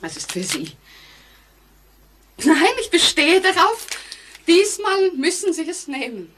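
A woman's voice: extremely high pitch, very animated, slow, a bit bright, a little hiss or hum, exaggerated voice. An actress playing an insistent woman in a mystery radio play, in 1940s acting style on an old recording.

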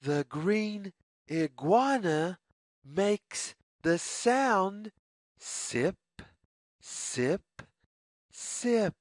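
Someone reading a kids story super slow, pitch swinging up and down, dry close sound. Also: lively intonation, no echo. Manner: slow, deliberate, phrase-by-phrase sing-song reading. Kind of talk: children's read-aloud story narration.

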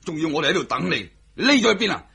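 An actor playing a demanding, grumpy older father-in-law in a radio drama, with a husky, impatient, scolding delivery. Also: on the high side, sing-song, very fast, pushed and bright, heavily acted. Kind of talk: Cantonese radio drama acting.

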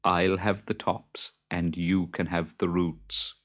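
A man's voice: low-pitched, little pitch movement, slow, a bit bright, heavily acted. A man voicing a plucky, teasing farmer in a children's storybook. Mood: cheerfully teasing. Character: cheerful male farmer, plucky, teasing underdog.

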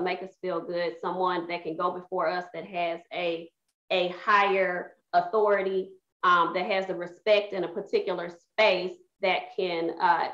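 Middle-aged female moderator, lowish pitch, earnest and measured; muffled, echoey video call. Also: fairly bright tone. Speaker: panel moderator. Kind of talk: personal account on a virtual panel. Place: bright home room with window. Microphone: computer mic on video call.

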